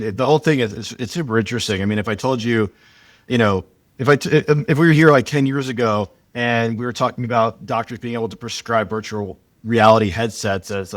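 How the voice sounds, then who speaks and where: A man's voice: on the low side, dry recording. A man hosting a podcast who speaks with enthusiasm and often repeats words as he starts a sentence. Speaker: podcast host. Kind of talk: podcast interview.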